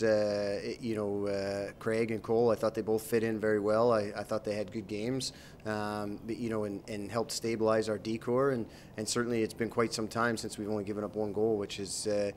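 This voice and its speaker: A man in his thirties, a hockey coach, answering post-game questions in a measured way, with many fillers and restarts, into a handheld mic. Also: on the low side, little pitch movement, darkish tone, slight background noise. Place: arena interview backdrop, indoors. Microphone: handheld mic held by interviewer.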